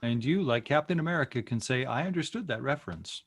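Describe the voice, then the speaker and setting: A man's voice: mid-range voice, lively intonation, quick pace, breathy edge, no echo. A man talking casually on a group call with a wry, storytelling manner. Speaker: narrator and participant in a script table read. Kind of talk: casual group chat after a table read. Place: indoors on a group video call.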